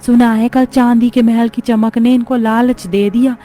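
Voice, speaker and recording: A woman's voice: on the high side, flat delivery, moderate pace, dark tone, close to an everyday voice. A woman voicing a grave village woman who passes on grim gossip in a cartoon story. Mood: sombre. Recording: music behind the voice.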